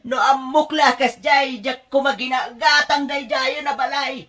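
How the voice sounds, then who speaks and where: A woman with an Ilocano accent playing an excitable, fretful middle-aged mother in a radio drama, expressive and exclamatory. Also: high-pitched, measured pace. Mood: regretful, complaining. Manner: expressive, exclamatory, laughs easily.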